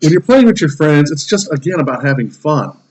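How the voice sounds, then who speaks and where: A man's voice: on the high side, very animated, a little fast, darkish tone, poor audio. A man in his thirties hosting a casual podcast, ranting emphatically with plenty of swearing. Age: 30s. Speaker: podcast host.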